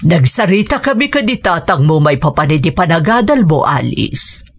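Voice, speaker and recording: An actress playing a caring, practical aunt with a husky voice in a radio drama. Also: female voice, deep voice, very animated, moderate pace, soft background music, exaggerated voice. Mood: concerned. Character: caring, practical aunt offering help to her niece. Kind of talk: Ilocano radio drama acting.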